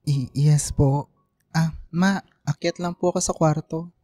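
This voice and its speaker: A woman's voice: low-pitched, fairly even intonation, unhurried, warm tone, no echo, clean studio sound, pitched below the performer's own voice. A woman voicing a dutiful, subdued young college student son in a radio drama, Filipino accent, polite, meek and hesitant. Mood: meek, resigned.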